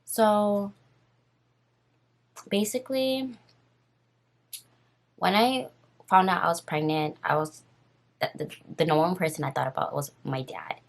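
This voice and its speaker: A woman in her twenties with a raspy voice, casually telling a story on her vlog while doing her makeup, rambling and full of fillers. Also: lowish voice, fairly even intonation, unhurried, pauses often, poor audio. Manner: rambling, filler-heavy and nervous. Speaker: vlogger.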